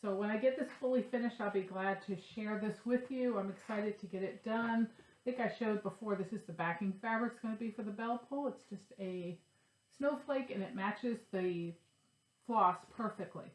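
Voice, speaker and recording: A woman's voice: mid-range voice, moderate pace. A woman with a stuffy, nasal voice from a cold, chatting casually to her viewers about her craft projects. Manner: chatty, upbeat, shows her stitching. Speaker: cross-stitch vlogger. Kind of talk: casual chat to camera. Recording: echoey room.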